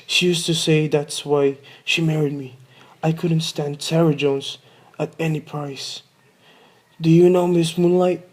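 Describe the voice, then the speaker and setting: A man's voice: fairly high pitch, fairly even intonation, unhurried. A man playing a forgetful, grumpy yet doting old grandfather in a radio play, muttering and repeating himself. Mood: fond.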